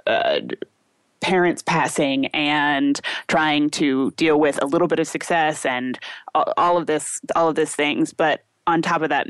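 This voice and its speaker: A woman's voice: low voice, no room sound. A young woman recommending books in a casual chat, enthusiastic and chatty with lots of "like" and "um". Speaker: book recommender.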